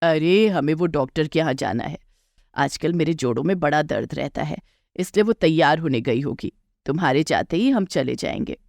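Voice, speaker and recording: A woman's voice: low voice, expressive, moderate pace, gravelly, a little mellow, dry recording, studio quality, voice pushed down. A woman voicing an older mother-in-law in a moral story, anxious, bossy and conspiratorial in her scolding. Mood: evasive.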